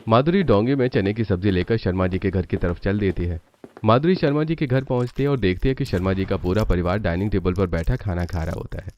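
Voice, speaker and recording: A man's voice: on the low side, brisk, darkish tone. A man narrating a scripted cartoon family story as the storyteller. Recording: dull lo-fi narration, faint noise.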